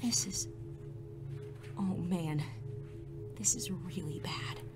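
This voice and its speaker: A woman's voice: on the low side, slow. A woman playing an anxious, self-doubting teenage girl who narrates her superhero adventure in a hesitant, confiding, breathless way. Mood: tense, alarmed. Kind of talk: first-person dramatic narration and dialogue. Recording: music underneath.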